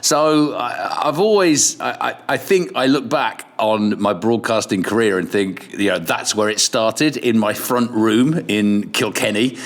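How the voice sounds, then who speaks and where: A middle-aged man with an English accent, telling radio stories in a relaxed, chatty way and swearing casually. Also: lowish voice, unhurried, hardly any pauses. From Ireland. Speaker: podcast guest, radio presenter. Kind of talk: casual chat.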